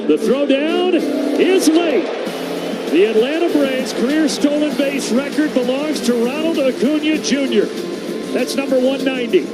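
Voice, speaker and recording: A man making an excited broadcast baseball play call. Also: very high voice, a little slow, loud music behind the voice, low-quality recording.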